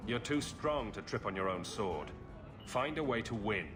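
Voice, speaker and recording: An actor playing a steady, encouraging adventurer in a video game, with a dry, pragmatic way of talking. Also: male voice, on the low side, normal speed, natural voice. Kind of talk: dramatic video game dialogue. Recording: music behind the voice.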